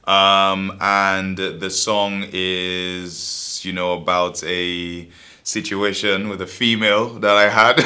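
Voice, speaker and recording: A man's voice: sits low, bright, forceful tone. A young man from Saint Lucia with a Saint Lucian and East London accent, a rapper chatting in a laid-back, rambling way in an interview. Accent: Saint Lucian mixed with East London. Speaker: rapper and songwriter, guest. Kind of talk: casual interview talk.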